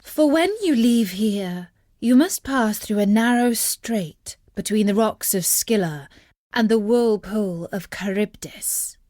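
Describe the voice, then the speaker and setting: A woman's voice: medium pitch, slow pace, warm tone, dry recording, studio quality, close to an everyday voice. A woman voicing a beautiful, seductive witch from a storybook, wheedling and commanding in a theatrical way. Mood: wistful, warning. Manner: theatrical, coaxing. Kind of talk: storybook character voice.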